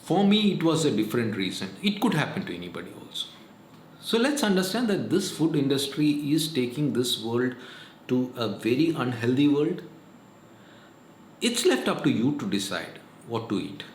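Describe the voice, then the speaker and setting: Man, 40s, earnest and repetitive, casual vlog talk, mid pitch, roomy echo. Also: very animated, normal speed. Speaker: vlogger. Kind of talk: casual advice monologue. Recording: echoey room, faint noise.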